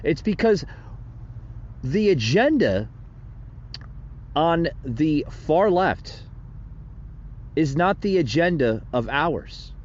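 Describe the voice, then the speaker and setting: A man's voice: a little high, very animated, drawn-out words, pauses often, a little mellow, highs cut off, slight background noise. A man hosting a solo political commentary podcast, rambling and indignant, with many fillers and restarts. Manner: indignant and preachy. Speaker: podcast host.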